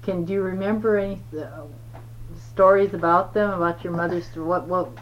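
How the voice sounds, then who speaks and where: A woman's voice: fairly low pitch, steady tone, moderate pace. A woman conducting an oral history interview, asking questions and giving patient, encouraging prompts. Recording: dull old tape with faint noise.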